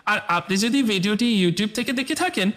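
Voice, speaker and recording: A man's voice: high voice, expressive, brisk, fairly bright tone. A man narrating a scripted cartoon tale for children as the storyteller. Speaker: storyteller and channel host.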